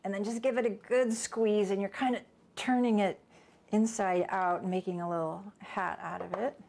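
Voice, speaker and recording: Middle-aged woman, home cooking teacher, chatty and unscripted, talks at a normal middle pitch, faint hiss behind her. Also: steady tone, normal speed, creaky, darkish tone. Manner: chatty, thinking aloud, with small asides. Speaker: cooking instructor. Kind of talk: casual cooking demonstration. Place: home kitchen, indoors.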